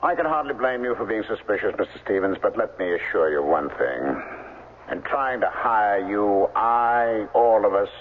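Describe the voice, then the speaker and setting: An actor playing a cold, domineering middle-aged crime boss in a 1970s radio drama, smooth, condescending and commanding. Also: male voice, lowish voice, sing-song, normal speed, slight background noise. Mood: smooth persuasion. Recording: muffled vintage 1970s radio broadcast.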